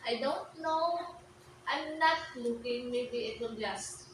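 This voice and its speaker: A soft-spoken young woman with a Filipino accent gives short, shy answers in a slow, unhurried way. Her voice sits fairly high. She is heard from off the mic in a very echoey room, with a fan humming behind her. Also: far from the mic, noisy background. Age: 20s. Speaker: interview guest.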